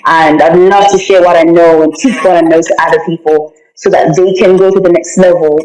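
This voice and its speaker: A woman's voice: fairly low pitch, fairly even intonation, fairly quick, darkish tone. A woman from Malawi, a podcast guest talking about her music program in an upbeat, encouraging way. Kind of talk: podcast conversation. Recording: roomy echo.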